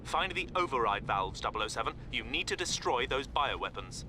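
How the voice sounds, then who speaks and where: A businesslike intelligence technician in a cinematic game, played by a man. His mid-pitched voice gives precise, efficient instructions. There is clear ambient noise behind him. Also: big pitch swings, fairly quick, natural voice. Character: efficient male intelligence tech briefing an agent. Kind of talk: cinematic video game acting.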